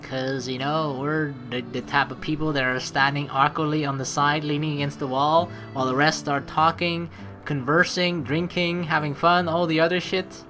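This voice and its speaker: A woman's voice: low-pitched, fairly even intonation, moderate pace, over music. A young woman giving casual, slangy game commentary, reading aloud and reacting as she goes. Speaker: let's play host.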